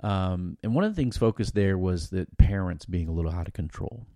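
Deep, gravelly American man podcasting. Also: a little fast, no echo, clean studio sound. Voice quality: loud, projecting voice. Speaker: podcast host. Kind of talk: casual conversational podcast discussion.

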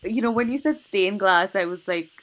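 A woman's voice: medium pitch, expressive, moderate pace. A woman in a casual conversation, thinking aloud with frequent restarts and light laughter.

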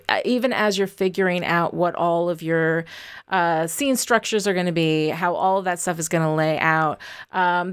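A woman's voice: fairly low pitch, smooth. A woman giving informal, encouraging, explanatory advice in a casual instructional chat, as a coach. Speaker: writing coach and guest co-host.

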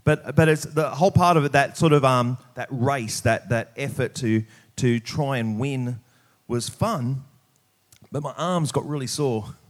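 A man's voice: mid-range voice, unhurried. A middle-aged Australian man preaching at church in an informal, plain-spoken, conversational way. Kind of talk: church sermon with prayer, scripture reading and casual anecdotes.

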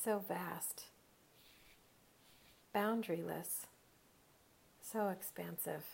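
A woman leading a guided meditation in a soothing, unhurried way, leaving long pauses between short phrases. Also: on the low side, fairly even intonation, gravelly, a little mellow, a little hiss or hum.

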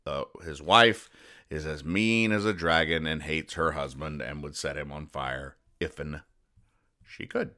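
A man hosting a comedy podcast, reading out comic strips and explaining them in a deadpan, over-literal way. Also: sits low, smooth. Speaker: podcast host.

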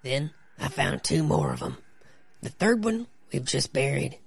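A man voicing a grizzled, grim small-town sheriff with a gravelly, raspy voice, telling a folksy tale in a comic-book radio play. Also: medium pitch, huge pitch swings, unhurried, full character voice.

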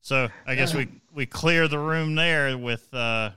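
A man's voice: mid-range voice, unhurried, bright, forceful tone, smooth, no echo. A man co-hosting a casual podcast, teasing and joking with his friends. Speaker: podcast co-host. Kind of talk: casual banter.

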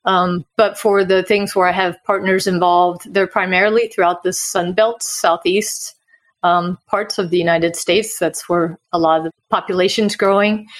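Low-pitched woman, conversational interview guest. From Sweden, moved to the US at nine. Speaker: podcast guest, real estate investor. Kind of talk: casual interview answers.

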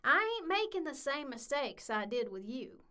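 A woman as a brash young woman, high animated voice, slangy, scoffing, defensive, audio comedy. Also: moderate pace, full character voice. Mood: irritated. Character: brash, defensive, foul-mouthed working-class young woman. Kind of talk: comedic audio drama acting.

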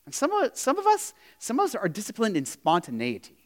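A man's voice: high-pitched, wildly swooping pitch, brisk. A male pastor giving a sermon in a conversational way, often saying "you know", restarting phrases and asking the congregation questions.

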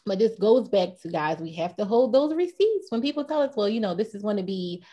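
A woman co-hosting a casual podcast chat, relaxed and opinionated, laughing often. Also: medium pitch, fairly quick, breathy edge. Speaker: co-host.

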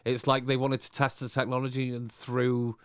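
A man's voice: mid-range voice, monotone, moderate pace, smooth, dry recording, clean studio sound. A man co-hosting a podcast, rambling in an easygoing casual chat. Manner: rambling, easygoing, restarts his thoughts. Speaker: podcast co-host.

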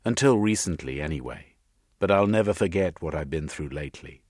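Man acting an earnest, old-fashioned British gentleman, husky. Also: a bass voice, expressive, a little fast, dry recording, clean studio sound, exaggerated voice. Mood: remorseful. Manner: proper, old-fashioned upper-class phrasing. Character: earnest, decent British gentleman, remorseful and indignant. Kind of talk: audiobook character voice.

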